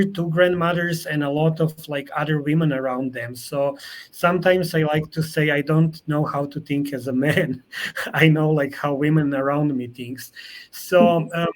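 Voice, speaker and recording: A middle-aged man with a Serbian accent, a Serbian speaker answering interview questions in halting English with many fillers and restarts. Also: a little high. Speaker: guest.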